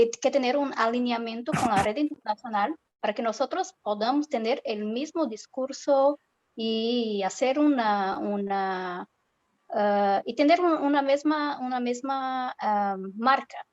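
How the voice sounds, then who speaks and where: A woman's voice: on the high side, a little slow, dull audio. A woman from Brazil with a Portuguese accent, asking a question on a video call, with lots of hesitation and fillers. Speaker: course participant.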